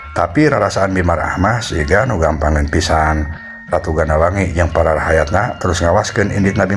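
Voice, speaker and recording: Male folktale narrator, Sundanese accent, fairly low pitch, light music bed. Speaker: storyteller. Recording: music behind the voice.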